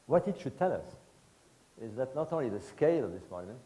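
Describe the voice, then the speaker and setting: A man in his sixties from Egypt, giving a public lecture to an audience in emphatic, rhetorical bursts of short phrases with pauses. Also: medium pitch, sing-song, dark tone, poor audio. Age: 60s.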